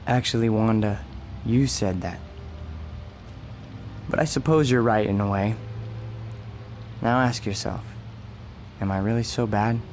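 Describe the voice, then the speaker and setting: An actor playing Death as a calm, gentle man in a radio drama, measured, soft-spoken and quietly insistent. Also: on the low side, faint music behind, close to an everyday voice. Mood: gentle, persuasive. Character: calm, gentle, persuasive Death in a man's form. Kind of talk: dramatic radio play acting.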